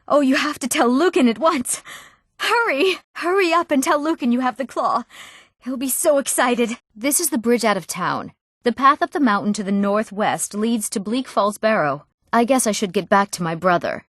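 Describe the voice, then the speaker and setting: A woman's voice: medium pitch, expressive, moderate pace, fairly bright tone, dry recording. Young female fantasy RPG character: earnest townswoman or noble, middle register, clean dry studio sound. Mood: helpful, earnest. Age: young adult. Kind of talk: scripted fantasy game character dialogue.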